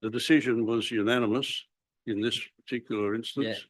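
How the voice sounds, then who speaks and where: A man's voice: mid-range voice, fairly even intonation, a little fast, fairly bright tone, dry recording. A man from Australia, a councillor firmly objecting in a council meeting, stumbling over restarts.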